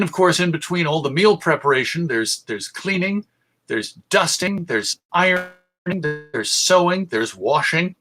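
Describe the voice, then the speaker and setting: An American man presents an informal history talk. His voice is slightly high and very bright, with a conversational delivery and a dry, wry touch. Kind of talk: informal illustrated lecture.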